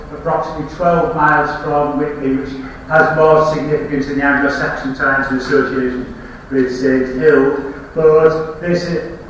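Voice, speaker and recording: A man's voice: fairly high pitch, a bit bright, a little hiss or hum. A man with a Yorkshire accent giving a rambling lecture on his slides, with wry asides and frequent restarts, recorded from a distance in a lecture hall. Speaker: archaeology lecturer. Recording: very echoey hall, speaker off-mic.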